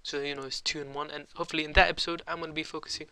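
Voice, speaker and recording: Young guy with a cold hosting a tutorial, rambling and casual; fairly high, crisp and clear voice. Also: level tone, rapid-fire, pushed and bright. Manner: rambling, lots of ums and uhs. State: has a cold. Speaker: tutorial host. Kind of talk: casual screen-recorded software tutorial.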